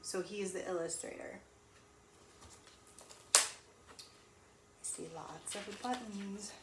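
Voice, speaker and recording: A woman teacher reading a storybook aloud to young children in an animated, sing-song way, pausing to ask them questions. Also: mid-range voice. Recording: echoey room with faint noise.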